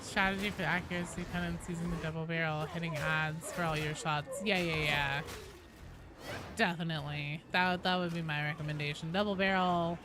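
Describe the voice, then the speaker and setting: A woman's voice: deep voice, fairly even intonation, moderate pace, music in the background. A woman game streamer chatting casually and playfully with her live viewers in an informal way. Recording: clear background noise behind voice.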